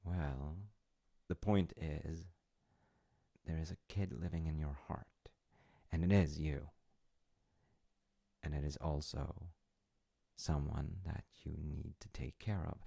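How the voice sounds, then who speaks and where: A man playing a gentle, loving, wise dad in an intimate audio roleplay, speaking tenderly and hesitantly in short phrases with a gravelly, breathy voice. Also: a bass voice, dry recording, clean studio sound, exaggerated voice. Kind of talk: intimate audio roleplay, improvised-sounding storytelling.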